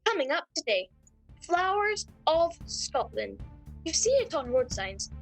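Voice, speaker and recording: Actress as eager female comedy news correspondent, high voice, lively swooping intonation, music bed. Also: measured pace, exaggerated voice. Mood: eager, dramatic. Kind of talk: scripted comedy news-style announcing.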